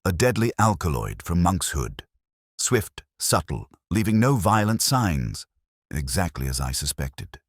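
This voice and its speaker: A man's voice: deep voice, sing-song, measured pace, husky, no echo, studio quality, heavily acted. A man voicing a keen, commanding Victorian detective in an audiobook, precise and authoritative. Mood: assured, precise.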